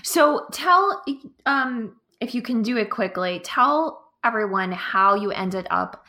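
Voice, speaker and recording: A woman's voice: fairly high pitch, lively intonation, slow pace, sharp tone. A woman hosting a podcast interview, encouraging and drawing out her guest. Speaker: podcast host.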